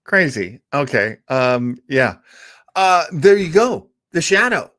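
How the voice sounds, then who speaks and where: A man's voice: fairly high pitch, big pitch swings, slow pace, fairly bright tone. A man hosting his own show, chatting casually in a rambling, self-deprecating way. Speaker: podcast host. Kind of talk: casual chat. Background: music.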